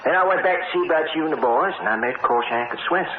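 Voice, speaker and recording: An actor playing a steady, folksy, matter-of-fact fighter who is second in command in a 1950s radio drama. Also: male voice, on the high side, fast, natural voice. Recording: muffled, noisy, echoey 1950s radio recording.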